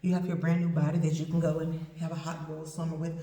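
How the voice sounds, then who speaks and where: A woman's voice: deep voice, monotone, fast talker, mellow. A woman with an East Texas accent, sore and swollen after surgery, rambling candidly in a casual video diary. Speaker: vlogger recovering from surgery. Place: indoor room with a door. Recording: echoey room, voice from far off.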